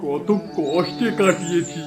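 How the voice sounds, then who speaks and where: A man's voice: on the high side, a little slow, natural voice. A man voicing a loving, grateful father king in a cartoon fairy tale, tender and solemn. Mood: relieved, tender. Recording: music behind the voice.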